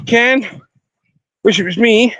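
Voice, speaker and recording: A man's voice: extremely high pitch, slow pace, a bit bright, no room sound. A Scottish man chatting to viewers on a casual live stream outdoors by a river, rambling as he talks into his phone. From Scotland. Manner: rambling, chatty, talking to viewers while walking.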